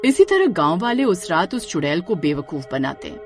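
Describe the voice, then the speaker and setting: A woman's voice: lowish voice, big pitch swings, normal speed, a little mellow, faint music behind. A woman narrating a scripted horror story as a storyteller.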